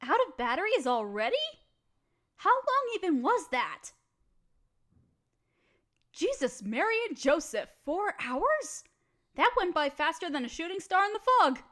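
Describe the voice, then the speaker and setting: High-voiced, restless young woman roommate acted by a woman. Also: very animated, a little slow, pauses often, fairly bright tone, heavily acted. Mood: upbeat, playful. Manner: slangy, dramatic, playfully complaining. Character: bored, restless, chatty young woman roommate stuck in quarantine. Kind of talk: casual scripted roleplay audio, talking to the listener.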